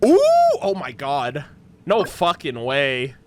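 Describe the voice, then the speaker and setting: A man streaming a video game, excitable, swearing and yelling during fights. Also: mid-range voice, takes it slowly, noisy background. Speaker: gaming streamer. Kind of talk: live game stream commentary. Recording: voice over loud game music.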